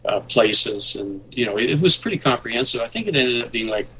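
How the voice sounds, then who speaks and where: A man's voice: medium pitch, lively intonation, a little fast, bright, forceful tone, a little hiss or hum, rough recording. A man in his sixties, born in Scotland, talking as a radio guest with wry asides and many hesitant fillers. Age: 60s. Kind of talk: casual interview talk.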